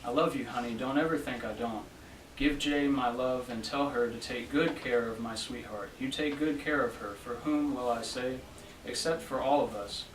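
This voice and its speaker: Young man, mid-pitched voice, love letter reading, roomy echo, distant mic. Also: steady tone, moderate pace. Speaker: student reader at a library event. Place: library room, reading to a small gathering. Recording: roomy, distant event recording with faint noise.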